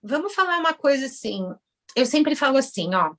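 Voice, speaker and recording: A Brazilian woman in her forties talks through her story in a relaxed interview, her voice sitting a touch high for a woman. She tells it with animation and slips into little voices when she acts out what other people said. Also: normal speed. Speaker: guest, Brazilian orthodontist now working as a house cleaner.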